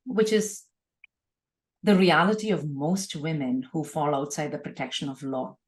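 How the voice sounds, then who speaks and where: A woman speaking as an interview guest, reflecting on personal experience in an earnest, emphatic conversational way, often repeating words for emphasis. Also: low-pitched. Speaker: guest, organisational leader.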